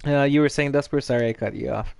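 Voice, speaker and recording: A man in his late twenties hosts a relaxed gaming podcast, teasing his co-hosts and breaking into laughter often. His voice sits at an ordinary middle pitch. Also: fast, vocal fry, clean tone, dry recording. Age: 20s. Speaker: podcast host. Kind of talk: casual chat.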